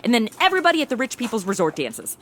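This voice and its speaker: A woman's voice: a little high, huge pitch swings, rapid-fire, a bit bright, slight background noise. An actress playing a ditzy, flippant modern young woman, slangy and gushing, in a comedy audio drama. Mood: breezy.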